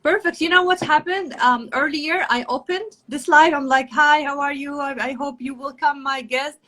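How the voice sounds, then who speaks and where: A woman's voice: high-pitched, normal speed, pushed and bright, rough recording. A woman hosting a casual live video chat, speaking in a gushing, effusive way. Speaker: talk host.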